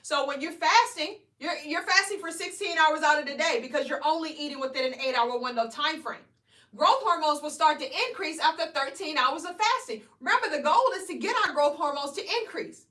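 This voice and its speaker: A woman, coaching weight loss on a live stream in an energetic, preachy way, often asking "right?". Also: high-pitched, a little fast, reverberant, recorded from a distance. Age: 40s. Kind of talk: live stream motivational talk.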